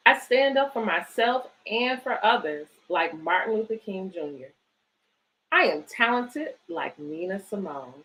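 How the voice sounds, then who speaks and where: A woman's voice: medium pitch, sing-song, a little slow, a bit bright, poor audio. A woman hosting a children's storytime, reading picture books aloud and chatting encouragingly to families. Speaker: host of a children's bedtime story series, book organisation director.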